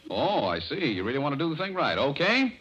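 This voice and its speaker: A man's voice: fairly high pitch, big pitch swings, fairly quick, a bit bright, husky, muffled recording. A man performing as an announcer and comic player in 1940s radio comedy. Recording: old radio recording, faint hiss.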